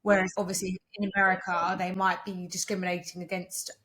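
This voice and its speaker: A young woman from England co-hosting a podcast, talking in a relaxed, reflective way with many fillers. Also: fairly low pitch, a little fast, slight background noise, low-quality recording. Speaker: podcast co-host. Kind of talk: casual chat.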